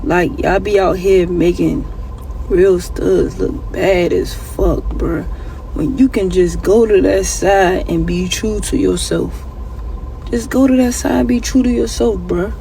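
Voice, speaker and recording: A man gives a scolding rant full of street slang. His voice is high and gravelly, and he speaks slowly. Faint noise sits behind him. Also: fairly even intonation, mellow. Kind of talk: casual rant.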